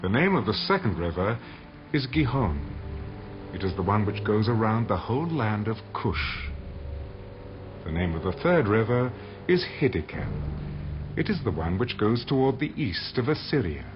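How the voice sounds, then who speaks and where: A man narrating dramatized scripture in a solemn, measured manner. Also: fairly low pitch, very animated. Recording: dull audio under loud music.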